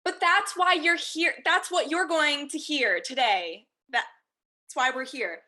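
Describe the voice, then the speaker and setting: High, slow, vacuous PR woman, acted out. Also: pushed and bright. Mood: airy, presentational. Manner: halting, airheaded announcer delivery. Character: vacuous female Hollywood PR rep. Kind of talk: acted screenplay table read.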